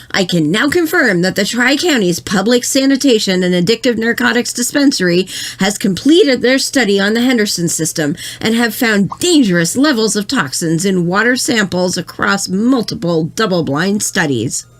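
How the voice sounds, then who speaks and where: A woman's voice: medium pitch, lively intonation, normal speed, natural voice. A woman playing an earnest, self-satisfied radio news anchor, speaking formally in a scripted mock broadcast. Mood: earnest, vindicated.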